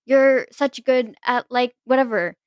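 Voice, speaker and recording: A woman's voice: high-pitched, steady tone, measured pace, smooth, no echo, lo-fi sound. A teenage woman co-hosting a podcast, chatting casually with friends and telling a story full of "like" fillers. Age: teens. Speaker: podcast co-host. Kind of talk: casual chat among friends.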